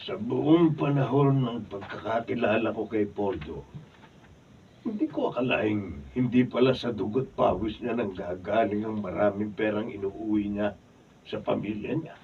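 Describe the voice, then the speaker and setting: A man's voice: lowish voice, normal speed, close to an everyday voice. A man acting a principled, humble father and construction worker in a Filipino radio drama. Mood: grave, admonishing. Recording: distant, phone-like, noisy studio audio.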